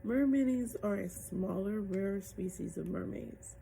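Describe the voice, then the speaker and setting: A woman's voice: mid-range voice, measured pace, gravelly, mellow, slight background noise, rough recording. A woman voicing a tiny, prim and proud little mermaid in a children's storybook. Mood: proud, chatty.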